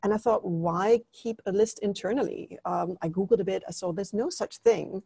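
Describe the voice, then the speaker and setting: A woman's voice: medium pitch, normal speed, vocal fry, mellow, slightly rough, no room sound. A middle-aged woman from Denmark, a native Danish speaker, earnestly explaining her views as an interview guest on a video call. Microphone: earphone headset.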